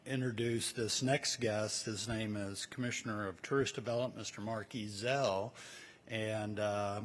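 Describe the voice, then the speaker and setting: A man hosting a press event, giving introductions from the podium in an informal, halting way, recorded live. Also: medium pitch. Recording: clear background noise, live event ambience.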